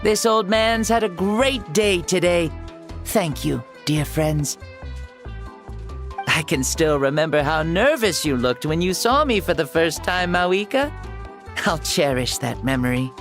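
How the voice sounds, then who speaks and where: A woman playing a gentle, courteous ancient male hero in a video game, speaking in a serene, reflective way. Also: on the low side, animated, a little slow. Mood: grateful, fond. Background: music.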